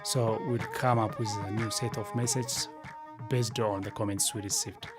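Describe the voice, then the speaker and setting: A man's voice: on the low side, steady tone, normal speed. A man explaining a creative process in an interview. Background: clear music.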